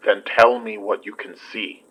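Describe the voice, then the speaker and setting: A man acting a gentle, steadying partner in a naturalistic audio drama, speaking with patient, tender reassurance. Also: lowish voice, level tone, moderate pace, highs cut off, close to an everyday voice. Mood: soothing, concerned. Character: gentle, steadying man comforting his beloved.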